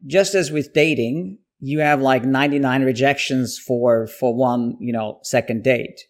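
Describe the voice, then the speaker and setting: A man talks through his career as an interview guest. He tells it in a lively, self-deprecating way. His speech is full of fillers and restarts. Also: medium pitch, measured pace, soft background music. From Austria. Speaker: guest, company CEO. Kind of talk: storytelling interview answers.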